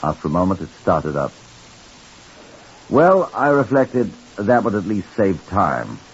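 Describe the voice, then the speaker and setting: A man narrating a mystery in the first person as the detective, with dry, measured storytelling in old-time radio style. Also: low voice, big pitch swings, breathy edge, full character voice. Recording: muffled old radio recording with hiss.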